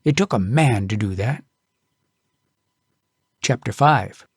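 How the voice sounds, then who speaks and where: A man narrating a tall tale as an audiobook, in a folksy, expressive storytelling manner. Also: lowish voice, slow pace, husky, dry recording, studio quality.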